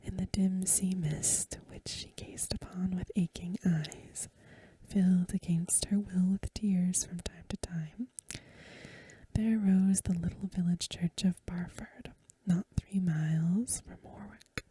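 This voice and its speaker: A woman reads a story in a hushed ASMR style. Her voice is whispery and very airy, with a mellow, dark tone. The phrasing is gentle and measured, and the sound is dry and close. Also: lowish voice, fairly even intonation, no room sound. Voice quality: breathy, whispery soft voice. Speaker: ASMR reader. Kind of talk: soft-spoken ASMR storybook reading.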